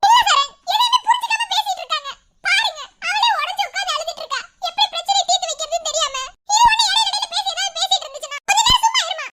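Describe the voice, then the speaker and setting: A woman playing a sharp-tongued, impatient grown daughter, snapping indignantly in a family melodrama. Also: very high-pitched, animated, very fast, long pauses, heavy background noise. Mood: angry. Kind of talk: melodramatic family drama acting.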